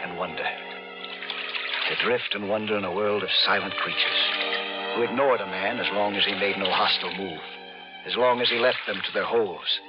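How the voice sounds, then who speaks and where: A man's voice: lowish voice, moderate pace, dull audio, close to an everyday voice. An actor playing a brooding, dreamy, obsessed skin diver who narrates his own story in an old radio suspense style, musing and dramatic, repeating phrases. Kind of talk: 1950s radio suspense acting, first-person narration. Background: dramatic music.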